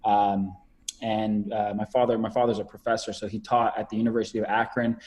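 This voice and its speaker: A man's voice: on the low side, flat delivery, brisk, a little mellow, slight background noise. A man in his twenties, a podcast guest talking casually over a video call, rambling with many fillers and repeated words. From Canada. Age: 20s.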